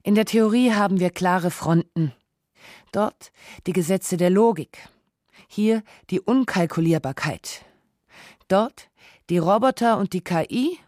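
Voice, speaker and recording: A woman playing a cool, analytical sparring partner in a radio drama, measured, probing and logical. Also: mid-range voice, a little mellow, no echo, studio quality, natural voice. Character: cool, analytical female sparring partner who questions and objects. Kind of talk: scripted radio drama dialogue.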